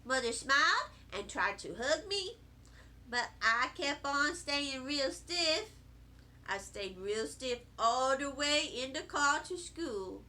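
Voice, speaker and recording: A woman reading a children's book aloud in an animated, deliberate storytelling manner. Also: sits high. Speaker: storybook reader. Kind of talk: expressive children's book narration.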